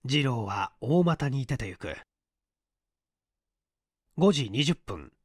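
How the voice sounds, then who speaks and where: A man's voice: medium pitch, sing-song, slow, no echo, studio quality. A man narrating mystery fiction from a script as an audiobook reader, in a dramatic manner.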